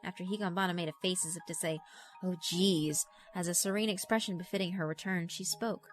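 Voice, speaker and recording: A woman's voice: on the low side, fairly even intonation, fast talker. A woman reading scripted first-person narration for a horror visual novel. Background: background music.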